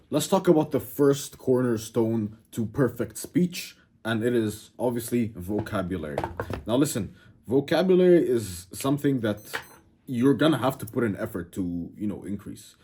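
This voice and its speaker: A man's voice: on the low side, dark tone, a little hiss or hum. A man from Egypt whose first language is Arabic, talking straight to camera in a casual, brash, emphatic way and often saying "bro". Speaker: self-improvement YouTuber. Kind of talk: casual direct-to-camera talk.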